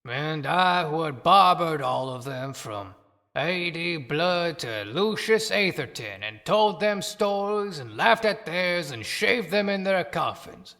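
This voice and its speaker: A man as a haunted barber in deep reverb. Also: lively intonation, slow pace, sharp tone, heavily acted. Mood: brooding, eerie. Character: musing, haunted dead town barber. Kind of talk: theatrical audio play monologue. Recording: long reverberant echo.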